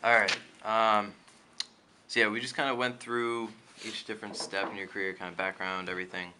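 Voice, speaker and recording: A man interviewing a guest, speaking hesitantly with filler pauses. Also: on the low side, little pitch movement, noisy background. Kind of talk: casual interview.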